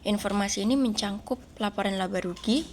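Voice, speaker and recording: A woman's voice: mid-range voice, brisk. A young woman, a student presenter explaining material step by step. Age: young adult. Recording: faint background noise.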